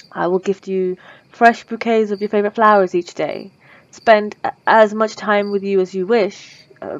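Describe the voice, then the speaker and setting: A woman's voice: mid-range voice, no echo, dull audio, close to an everyday voice. A woman voicing a polite, lovestruck female vampire in an ASMR roleplay, speaking formally and old-fashioned with nervous stammers. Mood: pleading.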